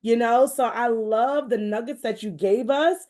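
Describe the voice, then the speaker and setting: A woman podcast host with a fairly high voice. She speaks in an encouraging, emphatic manner, circling back on phrases as she shares faith-based motivation in a conversational style. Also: a little slow, darkish tone.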